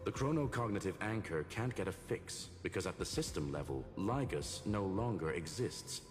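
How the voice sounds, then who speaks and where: A man playing a calm, analytical machine-like intelligence in a video game, formal and precise in speech. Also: low voice. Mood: clinical, calm. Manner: formal, measured, clinical. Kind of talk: acted video game dialogue. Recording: long reverb, music behind the voice.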